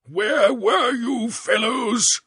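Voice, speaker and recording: A man voicing a hot-tempered, pompous old prince in a storybook reading, with a husky voice and a slow, commanding delivery. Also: high-pitched, lively intonation, bright, forceful tone, dry recording, voice pushed up, exaggerated voice. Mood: furious. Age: older.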